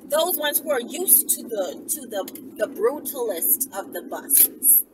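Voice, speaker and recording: Higher-voiced woman on a noisy, distant mic. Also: very animated, unhurried. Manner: bossy, playful, slangy. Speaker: host addressing followers. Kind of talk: casual, rambling monologue giving instructions to listeners.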